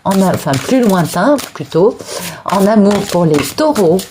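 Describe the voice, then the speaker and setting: A woman's voice: medium pitch, animated, unhurried, background noise. A woman with a cold giving a tarot card reading for viewers, talking in an encouraging way with frequent restarts and repeated words. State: has a cold, sneezing. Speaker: tarot reader.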